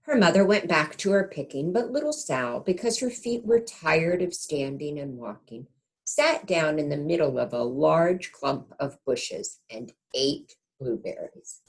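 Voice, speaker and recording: A woman with a low voice reads a children's picture book aloud. Her pitch moves in lively swoops, and she speaks like a kindergarten teacher, pausing to explain and put gentle questions to little listeners. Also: low-quality recording. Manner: asks the young listeners gentle tag questions.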